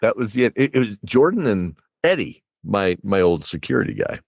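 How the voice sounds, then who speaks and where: Male host, lively swooping intonation, close dry sound, jokey conversational banter with chuckles. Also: fairly low pitch, normal speed, dry recording. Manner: jokey, chuckles while talking. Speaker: interview host and game master. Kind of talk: casual bantering interview chat.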